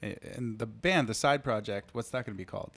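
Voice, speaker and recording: A man's voice: on the low side, fast, creaky, fairly bright tone, a little hiss or hum. A man working as a music show host, asking questions in a casual interview style.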